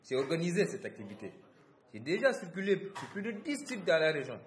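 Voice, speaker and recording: A brash young male gold miner, played by an actor in a radio drama, in an echoing room. His pitch moves a lot, and his talk is slangy, proud and animated. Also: fairly quick. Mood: indignant, proud.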